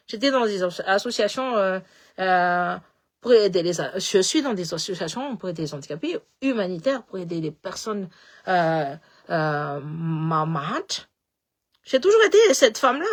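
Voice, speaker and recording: A woman with a slight Malagasy accent talking in a casual, animated way, repeating words as she goes and switching between languages. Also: mid-range voice. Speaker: political commentator. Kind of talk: casual live talk.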